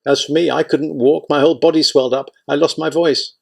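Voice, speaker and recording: A high, raised voice for an old village woman, kindly yet bitter about what she has lived through, as a male narrator puts her on. She pleads and protests in a storybook reading, her tone strained with a gravelly edge. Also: fairly even intonation, a little fast. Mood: indignant. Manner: pleading and indignant.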